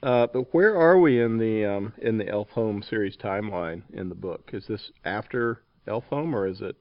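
A man's voice: fairly low pitch, lively intonation, moderate pace, dry recording, muffled recording. A man hosting a podcast interview, introducing and questioning a guest. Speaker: podcast host.

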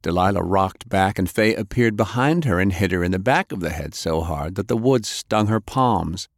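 Male audiobook narrator, low voice, very dry close sound, telling action fiction. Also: normal speed, no echo.

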